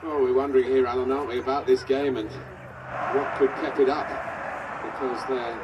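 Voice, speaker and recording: A man acting a chatty football commentator, unimpressed and medium-pitched. Clear outdoor noise sits behind his match commentary. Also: flat delivery, a little fast, highs cut off, lightly acted.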